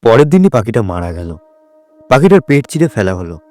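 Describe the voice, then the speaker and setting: A man's voice: medium pitch, sing-song, fairly quick, darkish tone. A man narrating a story for an audio drama in a scripted, dramatic storytelling style.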